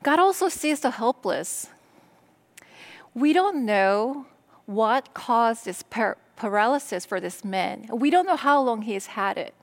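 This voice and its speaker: A middle-aged woman from Korea, a native Korean speaker, preaching with a slightly raised pitch. She speaks slowly and deliberately and pauses between short phrases. Her delivery is calm and steady. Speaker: pastor. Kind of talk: sermon.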